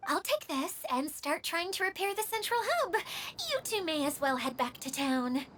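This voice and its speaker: Self-doubting young female tinkerer for a game, high animated voice over faint ambience. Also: moderate pace, noisy background. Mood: earnest. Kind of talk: video game voice acting.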